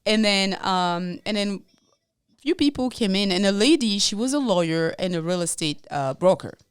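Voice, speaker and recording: French-accented woman on a podcast, smooth clear voice, dry close sound, laughs a lot. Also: mid-range voice, moderate pace, no echo. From Congo, raised in France. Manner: lively, laughs often, acts out quotes. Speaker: podcast co-host, realtor. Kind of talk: casual interview storytelling.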